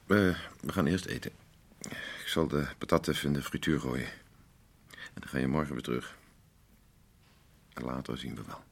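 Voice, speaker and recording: A worn-down husband in a radio play, with a very low voice full of fry. He is gruff and curt, dodging and placating with hesitant patience. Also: moderate pace, pauses often, exaggerated voice. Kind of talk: Dutch radio play acting.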